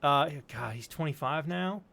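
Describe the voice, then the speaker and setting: A man's voice: a little high, expressive, normal speed, vocal fry, crowd noise. A man in casual chat, telling anecdotes and acting out what people said, with easy informal swearing.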